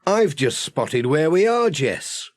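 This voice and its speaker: A man plays a friendly village postman from a children's audiobook. He chats and muses to himself with plenty of rise and fall, at an unhurried pace. There is a little noise on the recording. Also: on the high side, very animated, pitched above the performer's own voice. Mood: surprised. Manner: cheerful musing, talks to himself. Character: friendly, chatty village postman, muttering to his cat. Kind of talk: children's audiobook character acting.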